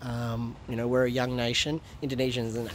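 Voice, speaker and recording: A man's voice: medium pitch, little pitch movement, brisk, background noise. A man talking animatedly as a podcast guest, stumbling and restarting often and slipping into Indonesian. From Australia. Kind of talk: casual interview chat.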